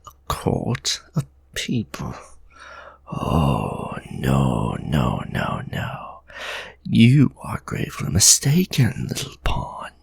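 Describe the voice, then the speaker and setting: A man voicing a brooding, protective male vampire lord in an audio roleplay, speaking formally in an intense, breathy whisper into a close microphone. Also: rumbling low, huge pitch swings, very slow, dry recording, full character voice. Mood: menacing. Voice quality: gravelly, breathy. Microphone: close ASMR microphone.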